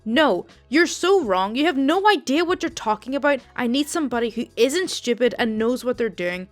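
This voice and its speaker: Woman putting on a loud, rude old lady caller. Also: sits high, expressive, moderate pace, faint music behind. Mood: angry, insulting. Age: older. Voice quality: smoker's voice. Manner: loud, insulting, demanding. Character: rude elderly woman caller, smoker, belittling.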